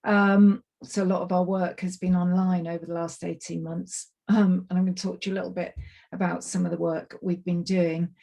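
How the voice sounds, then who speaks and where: Slightly croaky woman presenting. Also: on the low side, level tone, a little fast, dark tone. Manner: chatty, apologetic, many ums and restarts. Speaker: climate project manager giving a webinar talk. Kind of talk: online presentation, loosely scripted. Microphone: computer mic on a video call.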